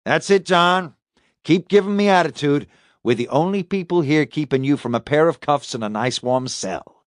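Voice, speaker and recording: A man performs a male police detective in an audiobook interrogation. His pitch sits on the high side and swings widely as he needles and taunts. The sound is very dry. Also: expressive, moderate pace, dry recording, lightly acted. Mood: needling. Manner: probing, taunting.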